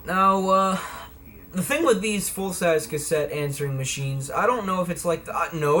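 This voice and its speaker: Man, slightly high voice, folksy and playful, casual gadget demonstration, faint background noise. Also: a bit bright. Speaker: vlogger presenting vintage electronics. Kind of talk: casual product demonstration talk.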